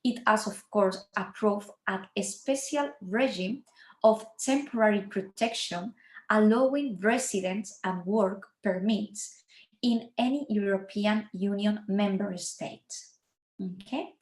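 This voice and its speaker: A woman's voice: mid-range voice, roomy sound. A Spanish woman with a Spanish accent, speaking English as a second language in an online academic presentation, careful and apologetic. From Spain. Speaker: university researcher presenting.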